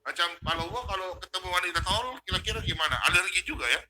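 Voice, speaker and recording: A man's voice: high-pitched, animated, fast talker, bright, forceful tone, slight background noise. A man hosting an online religious talk in casual chat, often repeating short words.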